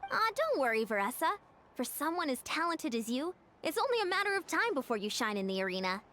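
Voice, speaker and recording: A confident athletic trainer. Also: female voice, high voice, sing-song, brisk, bright, forceful tone, slight background noise, heavily acted. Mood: encouraging. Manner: encouraging, self-assured. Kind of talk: game cutscene voice acting.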